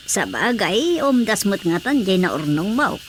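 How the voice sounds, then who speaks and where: A skeptical, nagging wife with an Ilocano Filipino accent and a medium-pitched voice. Her delivery is wry radio comedy acting, with faint noise behind it. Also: big pitch swings, unhurried. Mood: agreeable, wry.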